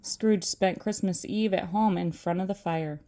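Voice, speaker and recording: A woman's voice: lowish voice, steady tone, a little slow, warm tone. An older woman reading a children's storybook aloud as a storyteller.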